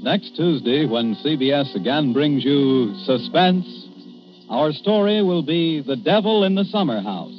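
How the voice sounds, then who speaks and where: A man with an American accent reading scripted announcements in a formal 1940s radio style. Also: on the high side. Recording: muffled 1940s radio, music behind.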